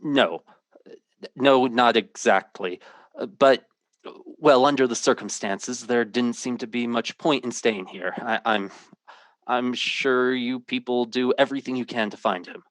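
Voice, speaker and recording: A man's voice: mid-range voice, a little slow, fairly bright tone, no room sound, natural voice. A man playing an earnest, troubled, intense hero in an old-time radio drama. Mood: guarded, earnest. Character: earnest, troubled man telling a fantastic story.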